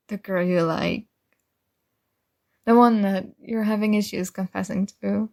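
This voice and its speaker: A woman plays a young female best friend who is sweet, earnest and completely oblivious. Her voice sits a little high and she takes her time over each phrase. It is soft ASMR roleplay, bubbly, with small hesitant pauses. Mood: gentle, curious.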